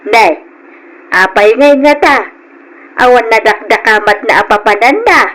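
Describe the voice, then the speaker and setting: A woman's voice: a little high, measured pace, fairly bright tone, slight background noise, poor audio. An actress playing a concerned mother advising her grown son in an Ilocano radio drama. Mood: questioning, concerned.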